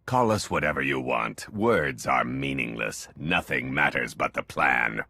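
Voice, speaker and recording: Casting: grim fanatic conspirator, game villain, husky and hard-edged, very deep, menacing. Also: male voice, lively intonation, pushed and bright, heavily acted. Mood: cold, resolute. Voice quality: gravelly, very deep. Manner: stern, deliberate, menacing. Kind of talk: dramatic video game dialogue.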